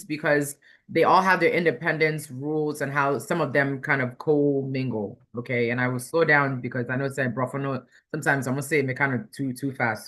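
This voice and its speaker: A woman's voice: low voice, pushed and bright. A woman speaking as a guest attorney, explaining things plainly and step by step, repeating key points for clarity. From Ghanaian background. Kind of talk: explanatory interview talk.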